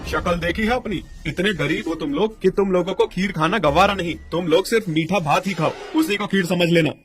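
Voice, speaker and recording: Man acting a haughty, greedy village merchant, fairly high-voiced. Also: fairly quick, close to an everyday voice. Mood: scornful. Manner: scornful, blustering. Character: greedy, haughty, scheming village dairy merchant, short-tempered. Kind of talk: acted children's cartoon story. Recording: music under the voice.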